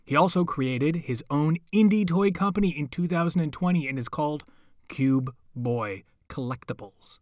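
A man's voice: a little high, animated, normal speed, darkish tone, no echo, clean studio sound. A man hosting a podcast, reading a scripted introduction. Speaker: podcast host.

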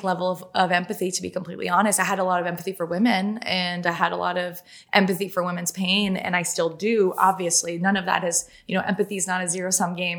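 A woman's voice: on the low side, creaky, a bit bright. A woman with a French Canadian accent, a native French speaker, talking casually as an interview guest and filling her sentences with "like". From Quebec, Canada. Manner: chatty and quick, heavy use of "like" fillers. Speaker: journalist and author, guest.

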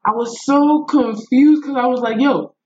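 A young woman telling stories in casual, slangy, animated talk with Nigerian turns of phrase. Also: high-pitched, a little slow, dull audio. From United States. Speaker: podcast guest. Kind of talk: casual conversational storytelling.

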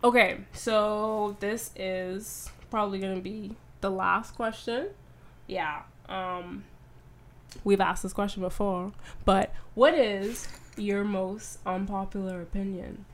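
A woman's voice: medium pitch, steady tone, takes it slowly. Woman co-host, chatty and encouraging, mid-range voice. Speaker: podcast co-host. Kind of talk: casual chat.

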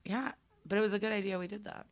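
A woman's voice: mid-range voice, steady tone, fast. A young woman from Ukraine, a stand-up comedian, chatting casually as a podcast guest and telling stories with many fillers.

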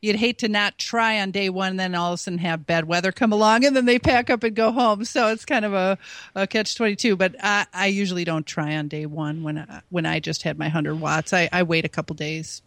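A woman's voice: medium pitch, fairly even intonation, brisk, fairly bright tone, no echo. A woman guest on a radio talk show, talking freely and casually about her hobby. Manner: chatty, talks freely. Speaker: guest, amateur radio operator. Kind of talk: casual interview chat.

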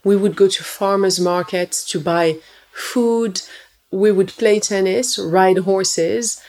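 A woman who grew up in Morocco, a nutritionist guest in an interview, telling stories about her childhood in a lively way. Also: mid-range voice, slow. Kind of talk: interview conversation.

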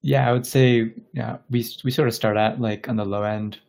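A man's voice: medium pitch, fairly even intonation, moderate pace, gravelly, a little mellow. A man from Bangladesh speaking as an interview guest, explaining things casually and thoughtfully, often searching for his words. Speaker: tech company founder interviewed as podcast guest.

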